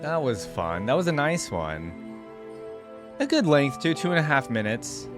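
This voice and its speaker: A man's voice: mid-range voice, big pitch swings, measured pace, over music. A man giving casual, easygoing reaction commentary as a video host, rambling and thinking aloud.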